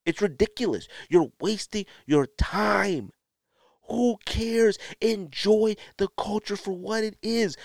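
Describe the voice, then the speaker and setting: A man's voice: fairly high pitch, dry recording, clean studio sound. A man hosting a solo podcast, talking in a blunt, exasperated way with emphatic rhetorical questions, like an opinionated rant. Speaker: podcast host.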